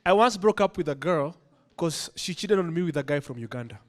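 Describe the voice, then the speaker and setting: A man's voice: fairly high pitch, animated, a little fast. A Kenyan man doing stand-up, chatting loosely with the crowd on a club stage. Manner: conversational, crowd-teasing, switching English and Swahili. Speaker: stand-up comedian. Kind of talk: stand-up set. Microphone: handheld stage mic.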